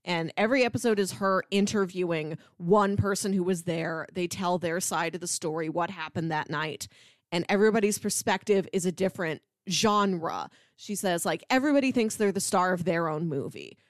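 A woman's voice: lowish voice, expressive, normal speed, dry recording. Casual woman podcast co-host, dry close sound. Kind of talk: casual conversational TV review.

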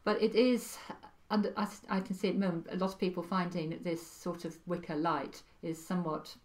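A middle-aged woman from Wales, a guest on a podcast, talking in a thoughtful, wry way with pauses and fillers. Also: lowish voice, fairly even intonation. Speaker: podcast guest, practising witch. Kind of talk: casual interview chat.

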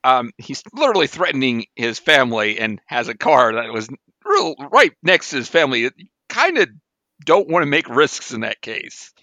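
A man co-hosting a podcast, offering casual trivia commentary in a halting, explanatory way. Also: medium pitch, very animated, sharp tone, no echo, highs cut off. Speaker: podcast co-host.

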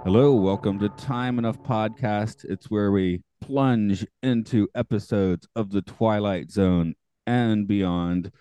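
A man hosting a podcast in casual chat, with a morning gravel in his voice, chatty and quick to laugh at himself. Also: fairly low pitch, dark tone. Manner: chatty, self-deprecating, laughs often. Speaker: podcast host.

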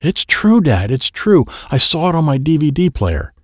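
A man voicing an excitable, anxious little boy who tells his own adventure in simple, breathless phrasing, as dramatic audiobook narration. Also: on the high side, moderate pace, no echo, voice pushed up. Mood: urgent, pleading. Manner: simple, breathless present-tense childlike phrasing.

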